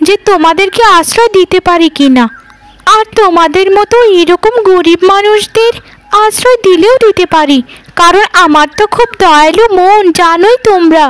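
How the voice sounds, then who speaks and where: A woman's voice: very high-pitched, normal speed. A woman voicing a haughty, boastful well-off housewife in a cartoon, talking down to others in a condescending, gloating way. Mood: smug, boastful. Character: haughty, boastful well-off village housewife, mocking poor neighbours. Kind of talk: cartoon voice acting.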